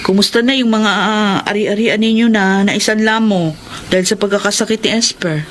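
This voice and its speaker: An actress playing a principled middle-aged woman mayor and protective elder sister in a Filipino radio drama, speaking in a firm, measured, authoritative way. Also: mid-range voice, steady tone, natural voice. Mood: affectionate. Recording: faint background noise.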